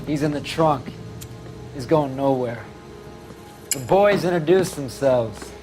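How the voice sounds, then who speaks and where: A menacing American mob henchman from a 1940s crime game. His voice is fairly high, full of taunting tough-guy swagger. Faint music plays behind him. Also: expressive, slow. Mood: smug.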